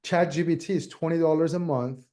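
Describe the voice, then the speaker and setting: Mellow-voiced man with a Persian accent. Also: fairly high pitch, fairly even intonation, a little fast. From Iran. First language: Farsi. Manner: loose, run-on sentences with restarts. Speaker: guest realtor. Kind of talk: casual interview chat.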